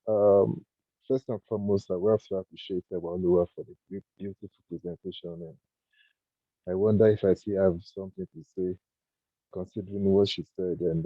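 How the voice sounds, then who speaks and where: A man in his thirties, his voice croaky in the early morning, giving an earnest and halting talk on an online panel over a video call. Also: low voice, no room sound. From Nigeria. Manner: earnest, thoughtful, halting with fillers. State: croaky, just woken early. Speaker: guest speaker. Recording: dry, tinny phone-like call audio.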